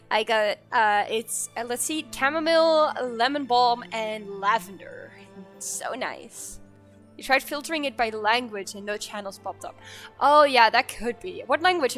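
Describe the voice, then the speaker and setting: A woman's voice: on the high side, fairly bright tone. A woman streaming a game, chatting casually and laughing with her viewers. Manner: chatty, laughing, talks to chat. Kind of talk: casual live-stream chat.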